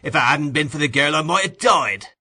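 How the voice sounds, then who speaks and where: A man's voice: mid-range voice, lively intonation, normal speed. A man doing a growling, surly burglar. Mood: bitter. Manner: growling and loud. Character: brutal, surly male burglar, sick and bitter.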